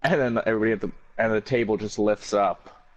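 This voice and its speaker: A man chatting casually with a friend, hesitant and halting as he talks. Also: fairly low pitch, steady tone, noisy background. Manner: hesitant, lots of fillers and restarts. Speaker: co-host. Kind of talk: casual chat. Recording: muffled lo-fi mic.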